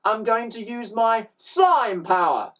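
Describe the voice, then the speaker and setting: A woman acts out a young boy from a children's storybook, pitched high and very animated. He is indignant and determined, snapping out emphatic declarations as someone set on revenge. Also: slow, distant mic, exaggerated voice. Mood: enraged, defiant.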